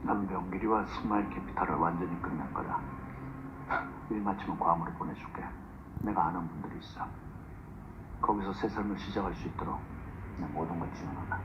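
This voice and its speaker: A man's voice: sits low, rapid-fire, long pauses, natural voice. An actor playing a hard, menacing man in a crime drama, heard through muffled, noisy TV playback. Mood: stern, threatening. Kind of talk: Korean screen drama dialogue.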